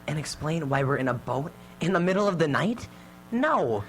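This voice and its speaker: An anxious teenage boy played by an actor, cautious and hesitant, with pitch that jumps around a lot. The style is radio drama, with a faint hum on the live recording. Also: big pitch swings, moderate pace, fairly bright tone. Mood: urgent, hushed. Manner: nervous, hesitant, repeats words.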